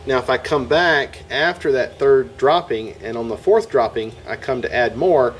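A man's voice: mid-range voice, moderate pace. A man hosting a homestead video, talking casually through a hands-on demonstration outdoors in a yard, folksy and step by step. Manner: folksy, talks through each step while working.

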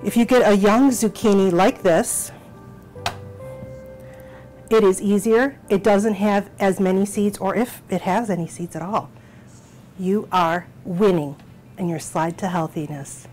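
A middle-aged woman hosting a cooking show from a small home kitchen, explaining each step in an encouraging, unhurried way. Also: medium pitch, fairly even intonation, a little mellow, soft background music.

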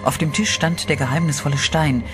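A man narrating a horror radio play in a steady, dramatic storytelling voice, with eerie music behind him. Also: a little high, brisk.

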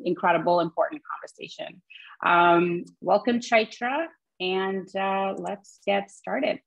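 A woman's voice: mid-range voice, fairly bright tone, rough recording. A woman from Pakistan hosting an interview over a video call, talking conversationally with many fillers. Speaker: host. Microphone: webcam on a video call.

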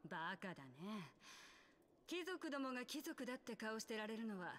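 A woman playing a brash, streetwise young rebel leader in a game, with rough, blunt, scornful speech. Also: on the high side, very animated, racing, a bit bright, a little hiss or hum. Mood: cool scorn. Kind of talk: Japanese game cutscene acting.

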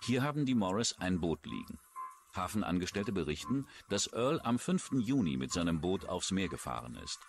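A man's voice: deep voice, big pitch swings, normal speed, soft background music. A man reading scripted true-crime documentary narration.

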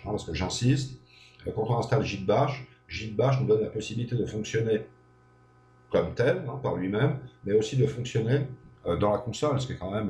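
A man's voice: on the low side, warm tone. A man giving an unscripted screencast lesson, thinking aloud with many hesitations and frequent checks that the listener is following. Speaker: programming tutor. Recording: echoey room with faint hum.

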